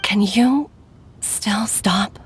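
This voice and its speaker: A woman's voice: medium pitch, very slow, slight background noise. A woman playing a troubled, caring doctor and mother in a video game, speaking earnestly and with measure over music. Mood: pleading concern. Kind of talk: dramatic video game voice acting. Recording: music under the voice.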